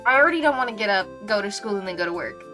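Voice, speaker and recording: A woman's voice: mid-range voice, lively intonation, very fast, pushed and bright, soft background music. A woman streaming a game with casual live commentary, chatty, gushing and self-deprecating. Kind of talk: casual live commentary while reading a visual novel.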